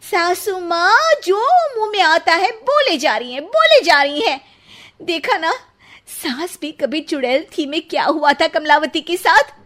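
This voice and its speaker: A shrill, sharp-tongued young bride. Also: female voice, lively intonation, a little slow, exaggerated voice. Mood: taunting. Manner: shrill, bickering, taunting. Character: sharp-tongued young daughter-in-law, quarrelsome. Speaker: voice actor. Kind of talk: cartoon voice acting.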